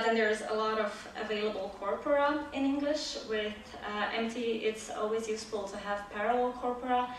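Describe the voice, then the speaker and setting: A woman from Croatia, a native Croatian speaker, presents her research at a conference, pausing on "uh" between phrases. She is picked up from far off in a large hall full of echo, with faint room noise underneath. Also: fairly high pitch. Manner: measured, with frequent "uh" fillers. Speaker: conference speaker, PhD student. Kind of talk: academic conference talk. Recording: echoey hall audio from far off.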